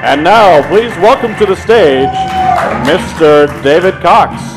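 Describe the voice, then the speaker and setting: A man serves as announcer for a live stage show. His voice sits a little high and he takes his time with each phrase. Music plays clearly behind him. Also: animated, slow pace, a crowd in the background, poor audio.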